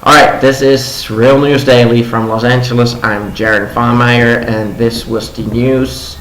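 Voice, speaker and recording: A young man whose first language is Dutch hosts a casual daily news vlog. He talks with many ums and restarts, and his voice sits a little low. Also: little pitch movement, fairly bright tone, slight background noise. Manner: halting, frequent ums and restarts. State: voice a little low after a concert. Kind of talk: casual news rundown with commentary. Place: home room in Los Angeles. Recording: roomy home recording with faint ambience.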